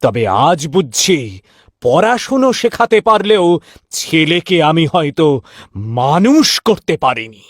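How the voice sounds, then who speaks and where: A man playing a stern, honest, weary middle-aged father and shopkeeper in a cartoon, speaking gravely and emphatically. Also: sits high, big pitch swings, a little slow, no room sound, clean studio sound, heavily acted. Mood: indignant. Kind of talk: cartoon voice acting.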